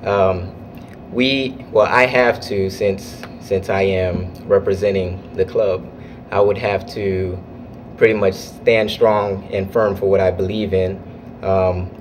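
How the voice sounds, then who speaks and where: A man's voice: sits low, a little mellow, a little hiss or hum. A young man from Florida, a student club officer, answering interview questions earnestly with frequent restarts. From United States (Florida). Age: young adult. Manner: earnest, halting with restarts and fillers.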